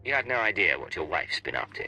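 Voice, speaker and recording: An actor playing a cool, sardonic secret agent in a video game, with a dry, wry delivery. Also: male voice, low-pitched, big pitch swings, quick pace, gravelly, pushed and bright, faint music behind, full character voice, poor audio. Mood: wry, probing.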